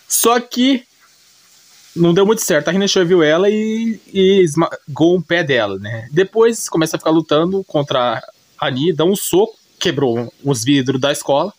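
A man with a Brazilian accent casually retelling an anime story off the cuff, rambling and slangy, often correcting himself. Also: on the high side. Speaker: YouTube anime recapper.

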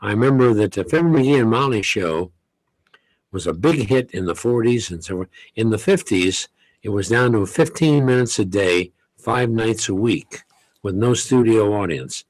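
A man's voice: lowish voice, animated, dry recording, low-quality recording. An older man, a longtime radio host, unhurriedly reminiscing in casual talk at a studio microphone. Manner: unhurried storyteller, chuckles while recalling. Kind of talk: relaxed reminiscing conversation. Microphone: studio condenser mic on boom.

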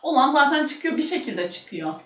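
A young woman chatting casually as a talk show guest, telling anecdotes in an animated, giggly way. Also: high-pitched, moderate pace. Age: young adult. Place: small studio room at a table. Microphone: shared table microphone. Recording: roomy, distant microphone.

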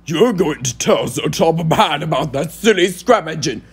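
A man voicing a boastful, scornful male steam engine in a cartoon audition, with a pompous, theatrical, sneering delivery and a raspy, gravelly voice. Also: a little high, animated, normal speed, soft background music, full character voice. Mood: indignant.

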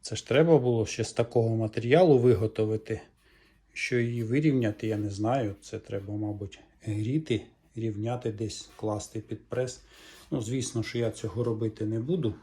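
A middle-aged man with a Ukrainian accent talks through a repair at his workbench. His voice is mellow and dark, and he speaks calmly, thinking aloud with small hesitations. The sound comes from the camera's own microphone. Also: on the low side, moderate pace. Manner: calm, explanatory, musing aloud with hesitations. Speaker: repair vlogger. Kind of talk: hands-on repair walkthrough.

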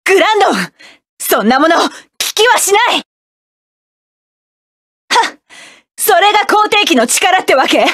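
Proud hardened mech pilot woman, high voice, clipped barks, dry studio sound. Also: expressive, unhurried, long pauses, no echo, full character voice. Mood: battle-ready. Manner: sharp, self-assured, clipped combat barks. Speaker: game character. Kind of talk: game battle voice lines.

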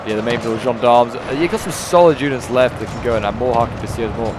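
A man commentating a game battle replay in a casual, rambling way, his voice a bit hoarse from a cold. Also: mid-range voice, fast, background noise. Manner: rambling, with frequent fillers and restarts. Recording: loud game music underneath. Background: loud game battle music and gunfire.

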